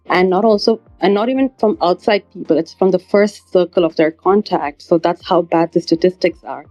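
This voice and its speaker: A woman's voice: on the low side, dark tone. A woman from India describing her project's goals as a guest on an online panel in an earnest, purposeful way. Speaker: guest, NFT project founder. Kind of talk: casual online panel talk. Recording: dull lo-fi online audio stream.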